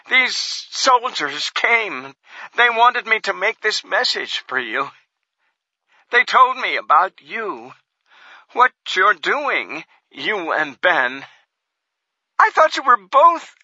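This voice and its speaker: A man voicing a frightened, tearful woman whose voice is throttled high into a whine. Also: pushed and bright, dry recording, exaggerated voice. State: on the verge of tears. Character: frightened tearful woman pleading with a lost partner. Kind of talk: audiobook character voice. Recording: dull lo-fi sound.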